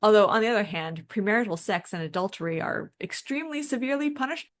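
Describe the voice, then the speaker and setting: A woman's voice: mid-range voice, expressive, fast. A woman co-hosting a podcast, explaining and discussing things in an informal, conversational way. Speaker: podcast co-host.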